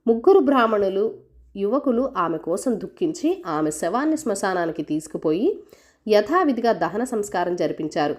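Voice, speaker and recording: A woman's voice: mid-range voice, big pitch swings, normal speed, darkish tone. A woman reading a scripted folk tale as a storyteller for children.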